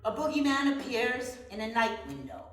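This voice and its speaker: A woman's voice: fairly high pitch, very animated, moderate pace. A woman narrator reading stage directions in a scripted dramatic reading. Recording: very echoey room, distant mic, noise.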